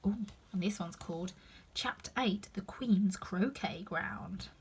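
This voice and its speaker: A woman's voice: mid-range voice, slow pace, breathy edge. A woman reading a children's story aloud expressively, with chatty asides to the listener.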